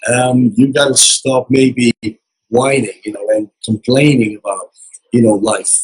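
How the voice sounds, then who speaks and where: Hoarse, husky man losing his voice. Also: mid-range voice, steady tone, a little slow, low-quality recording. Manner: earnest, repetitive motivational talk. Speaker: interview guest. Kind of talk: casual interview. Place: casino resort event.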